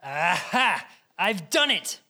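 A woman's voice: on the low side, big pitch swings, slow, sharp tone, heavily acted. A woman playing a proud, defensive and silly scientist in a comedy sketch. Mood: triumphant.

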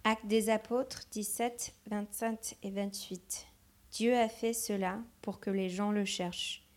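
Woman translating a sermon live, slow, stops and restarts a bit. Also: mid-range voice, steady tone. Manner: translating phrase by phrase with hesitations. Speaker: interpreter.

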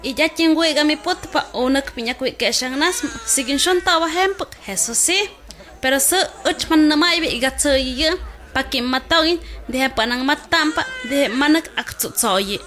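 A woman's voice: sits high, lively intonation, moderate pace, fairly bright tone. A woman playing a doctor who explains things to a new mother in a health radio drama. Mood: reassuring, instructive.

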